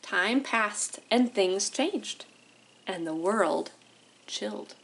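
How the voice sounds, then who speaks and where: A woman's voice: mid-range voice, lively intonation, very slow, a bit bright, poor audio. A woman hosting library storytime, reading aloud and explaining things expressively to young children, often checking in with them.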